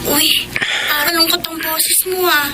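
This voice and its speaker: A woman's voice: extremely high pitch, level tone, a little slow, loud music behind the voice. An actress playing a caring young female student on a phone call in a radio drama. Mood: eager, concerned. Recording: very noisy phone-call scene.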